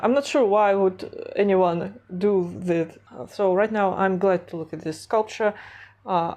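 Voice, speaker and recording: A woman's voice: mid-range voice, darkish tone. Woman with a stuffy cold. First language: Russian. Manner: hesitant, many fillers and restarts. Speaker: art vlogger. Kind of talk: casual vlog narration.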